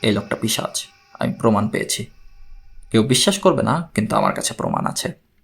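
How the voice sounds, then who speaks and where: Man voicing a low-pitched accusing, frightened wife. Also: huge pitch swings, fairly quick, vocal fry, breathy edge, exaggerated voice. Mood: accusing, distraught. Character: frightened, accusing wife convinced her husband is a fiend.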